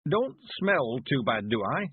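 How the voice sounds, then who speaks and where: A man voicing a cheerful, frail 91-year-old man, quavering and slow, rustic and stubborn. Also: medium pitch, very animated, husky, voice pushed up, heavily acted. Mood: jovial. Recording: phone-like lo-fi sound.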